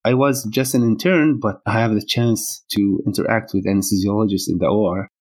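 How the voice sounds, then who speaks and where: Low-voiced Syrian-born man, hesitant. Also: fairly even intonation, a little mellow. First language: Arabic. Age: middle-aged. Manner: hesitant, pausing with fillers. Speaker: guest physician. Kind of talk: interview answers.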